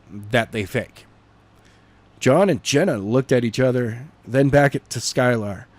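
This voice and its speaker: A man co-hosting a podcast, reading chapters of a novel draft aloud and then chatting about them in an animated, joking way. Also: medium pitch, no room sound. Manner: animated, jokey, quick to slip into character voices.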